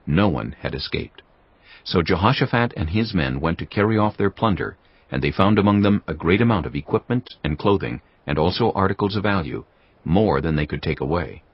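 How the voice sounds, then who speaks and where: Deep-voiced man narrating scripture. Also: expressive, slightly rough, no echo. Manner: measured, solemn reading. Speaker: narrator of an audio Bible. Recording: dull lo-fi audio with faint music.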